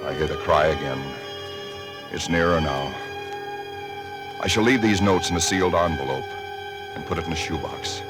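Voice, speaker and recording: A haunted man alone in the dark. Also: a bass voice. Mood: resigned. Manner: hushed, haunted first-person storytelling. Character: frightened man alone in an empty house. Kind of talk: 1940s radio drama narration. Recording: 1940s radio broadcast with loud music. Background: music score.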